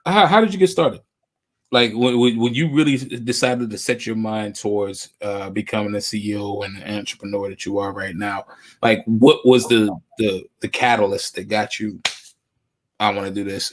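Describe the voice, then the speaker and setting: A man hosting a casual interview show, feeling his way through questions with repeated and rephrased words. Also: fairly low pitch. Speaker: host of a podcast web show.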